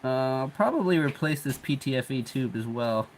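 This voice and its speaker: A congested man hosting a livestream, chatting in a relaxed, rambling way while he works, chuckling often. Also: mid-range voice, moderate pace, a little hiss or hum, rough recording. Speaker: 3D printing livestream host. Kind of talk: casual livestream talk while working.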